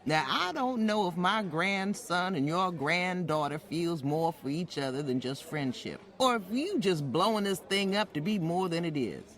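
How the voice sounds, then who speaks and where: An older Southern housekeeper and grandmother, played by a woman, with a folksy Southern US accent. Her pitch swings widely as she speaks. She is polite and measured but plainspoken, wry and firm, in an old-time radio drama style. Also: expressive, a bit bright.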